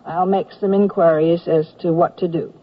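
A woman acting as a vague but helpful army nurse in a radio comedy, husky and hesitant as she muses. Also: fairly low pitch, fairly even intonation, moderate pace, close to an everyday voice. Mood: vague, musing. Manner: hesitant, musing, indecisive. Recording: muffled 1970s radio recording.

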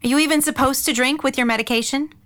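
A woman's voice: high-pitched, steady tone, quick pace, fairly bright tone. A woman playing a caring but exasperated middle-aged mother and aunt in an audio drama, earnest and firm. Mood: weary, concerned.

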